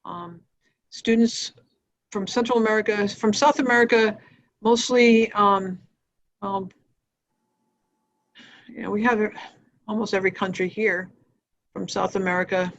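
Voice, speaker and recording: A woman answering questions as a nonprofit executive, explaining programs in a halting, matter-of-fact, informal way. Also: mid-range voice, fairly even intonation. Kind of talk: informal spoken presentation on a video call. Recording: dull, lo-fi livestream audio.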